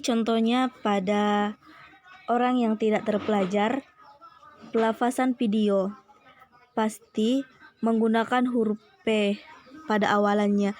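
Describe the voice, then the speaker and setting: A woman's voice: mid-range voice, a little mellow. A young adult woman giving a scripted educational presentation as a student, methodically going through numbered points.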